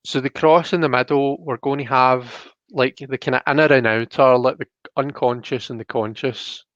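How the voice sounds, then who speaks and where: A man's voice: mid-range voice, fairly even intonation, normal speed, fairly bright tone. A man hosting a casual live-stream, chatting in a tentative, apologetic way. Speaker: host of a live tarot reading show. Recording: muffled, roomy home live-stream sound.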